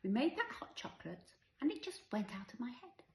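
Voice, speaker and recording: A woman voicing a playful, conspiratorial and gently decisive young mother in a story reading. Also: fairly low pitch, very animated, moderate pace, echoey room. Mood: sheepish. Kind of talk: audiobook character voice.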